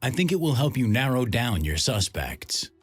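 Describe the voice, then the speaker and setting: A man voicing a helpful police chief in a mystery game, with a husky, authoritative and encouraging manner. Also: medium pitch, very animated, moderate pace, no echo. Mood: approving.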